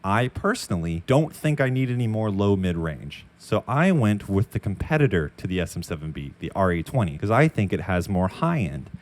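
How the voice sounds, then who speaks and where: Low-voiced young man explaining audio gear. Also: very animated, normal speed, hardly any pauses. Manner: explanatory, chatty, frank. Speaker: audio YouTuber. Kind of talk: casual Q and A vlog. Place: temporary makeshift room near a construction site. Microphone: dynamic mic up close.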